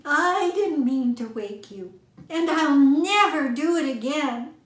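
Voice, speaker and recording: A woman voicing an earnest, pleading little young male mouse in a storybook, with a small squeaky voice. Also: lively intonation, reverberant. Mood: apologetic. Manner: pleading and earnest, drawn-out words.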